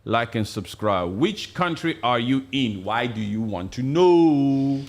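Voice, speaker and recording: A man's voice: mid-range voice, lively intonation, takes it slowly. A man from Africa co-hosting a casual live stream, talking with passion and repeating his points for emphasis. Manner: impassioned, emphatic, repeats phrases. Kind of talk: casual political chat.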